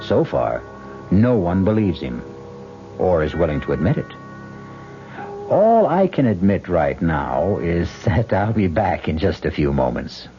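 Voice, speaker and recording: Low-voiced, dramatic radio mystery host. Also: male voice, very animated, a little slow. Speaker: host and narrator. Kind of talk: dramatic old-time radio narration. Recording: muffled old radio broadcast. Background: loud music.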